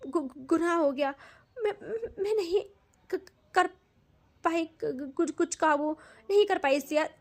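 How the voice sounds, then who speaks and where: A woman's voice: very high voice, lively intonation, moderate pace. A woman voicing a hot-tempered, possessive young woman who talks intensely and stammers when she pleads. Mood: begging.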